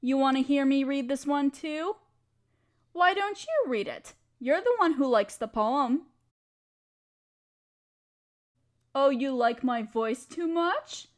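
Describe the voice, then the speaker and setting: A woman's voice: high-pitched, lively intonation, a little slow. A woman playing a playful, affectionate wife who loves poetry, theatrical and teasing, in a romantic audio roleplay. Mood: playful, flirty.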